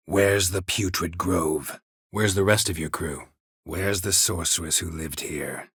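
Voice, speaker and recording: An actor playing a stoic, world-weary monster hunter in a video game, with a gravelly, husky voice and terse, dry, deadpan delivery. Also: male voice, low voice, unhurried, no echo, exaggerated voice. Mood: matter-of-fact.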